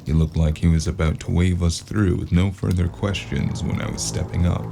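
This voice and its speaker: A male actor as a weary private eye from a cyberpunk noir audio drama. The voice sits low with a heavy fry, and the delivery is wry and deadpan. There is clear background noise around it. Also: moderate pace. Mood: brooding, wry. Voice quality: gravelly.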